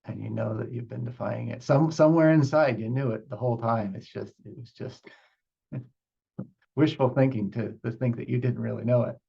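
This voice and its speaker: A man teaches meditation informally, feeling his way through explanations with reflective pauses and restarts. His voice has plenty of gravelly fry and is a little husky, and the recording sounds somewhat muffled. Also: mid-range voice, dark tone. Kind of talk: unscripted spiritual teaching with group questions.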